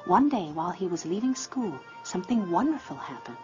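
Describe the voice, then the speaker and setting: A woman's voice: lowish voice, sing-song, fairly quick. A woman reading scripted narration in a storybook biography style. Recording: dull sound, loud music behind.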